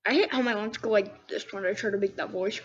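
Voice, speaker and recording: A woman's voice: mid-range voice, fast talker, breathy edge. A girl of about ten hosting a storybook read-aloud, breaking off for candid, casual asides. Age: child, about 10. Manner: candid, self-interrupting commentary. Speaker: young host reading children's books. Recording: dull lo-fi sound with faint noise.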